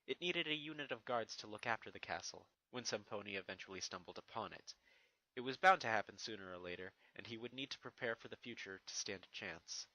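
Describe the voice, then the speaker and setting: A man's voice: fairly low pitch, lively intonation, a little fast, sharp tone, no echo, poor audio. A man giving a dramatic scripted narration of a fantasy fan fiction story.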